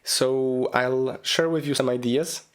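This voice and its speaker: A man hosting a solo podcast, his voice raspy and hoarse from a cold, talking loosely with lots of ums and you-knows. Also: mid-range voice, fairly even intonation, slow pace. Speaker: podcast host. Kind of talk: casual solo podcast monologue.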